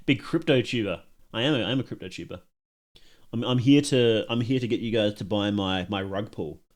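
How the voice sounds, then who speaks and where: A man's voice: fairly low pitch, normal speed. A man giving casual live-stream commentary as he reacts to videos at home, rambling and self-mocking and laughing at his own jokes.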